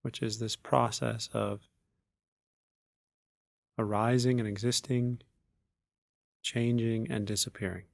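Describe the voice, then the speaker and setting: Male meditation guide. Very slow delivery, gravelly fry, long pauses between measured phrases. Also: medium pitch, flat delivery, no echo, studio quality. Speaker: meditation teacher.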